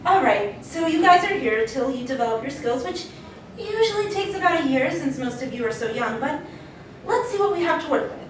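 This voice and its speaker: A woman's voice: high voice, a little fast, background noise. A woman playing a bubbly, easily exasperated teacher of young superheroes in a live comedy read. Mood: bright welcoming. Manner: expressive, patronizing, exaggerated sighing exasperation. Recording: very echoey convention hall, distant mic.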